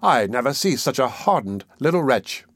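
A man voicing a two-faced woman who keeps pauper children in a storybook reading, both obsequious and sharp-tongued. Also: mid-range voice, very animated, normal speed, breathy edge, dry recording, clean studio sound, full character voice. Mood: scolding. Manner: obsequious to superiors, scolding to children. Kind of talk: audiobook character acting.

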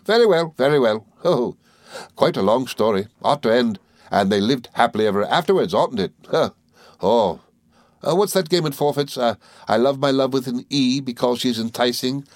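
A man reading a story aloud, voicing a tiny, sly woman who chatters rapidly and giggles. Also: medium pitch, lively intonation, no room sound, clean studio sound, pitched above the performer's own voice, close to an everyday voice. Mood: rattling.

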